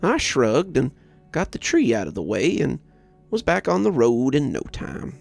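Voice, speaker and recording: A man narrating a scripted first-person story as a horror host, with a measured, dramatic storyteller's delivery and a gravelly voice. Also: mid-range voice, big pitch swings, a little mellow. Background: background music.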